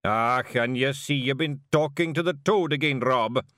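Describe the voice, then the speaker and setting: A man's voice: mid-range voice, normal speed, a bit bright, dry recording, studio quality, voice pushed up, close to an everyday voice. A man voicing a big, burly male pixie warrior who talks in a blunt, mocking Scottish way.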